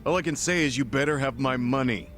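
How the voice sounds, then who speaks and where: A man's voice: mid-range voice, fast talker, a bit bright, natural voice. An actor voicing a pushy, condescending male swindler in a video game, brusque and blustering. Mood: arrogant, demanding. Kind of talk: video game cutscene dialogue. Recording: music playing behind dialogue.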